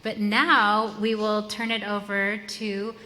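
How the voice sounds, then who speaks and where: Woman emceeing an event, bright voice, lots of "like" and "you know", big hall echo. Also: medium pitch, level tone, slow pace. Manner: loose, conversational, many fillers. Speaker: event moderator. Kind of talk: casual moderating. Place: large lecture hall. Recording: long reverb in a lecture hall.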